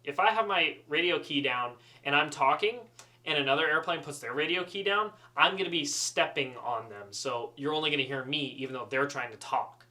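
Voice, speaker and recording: Bright-voiced man telling a funny story. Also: fairly high pitch, a little fast. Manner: animated, self-deprecating, chatty. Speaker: student pilot storyteller. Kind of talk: casual humorous storytelling.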